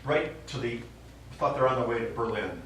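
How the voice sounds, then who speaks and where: An actor playing a stubborn old American war veteran and father, telling his memories haltingly in a stage play. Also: mid-range voice, a bit bright, natural voice. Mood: wistful reminiscing. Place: small theater stage, recorded from the audience. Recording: distant, very echoey theater recording, faint noise.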